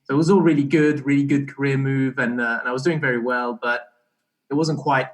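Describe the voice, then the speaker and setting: A young man talks as a guest in a casual interview. His voice has an average male pitch, and he explains things haltingly, often restarting a phrase midway. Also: steady tone. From Belgian-born, partly raised in Barbados. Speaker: interview guest, startup co-founder.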